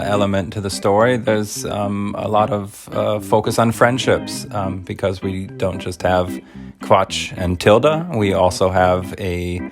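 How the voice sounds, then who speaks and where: A man presenting his own podcast in a casual, explanatory trailer voice-over. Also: on the low side.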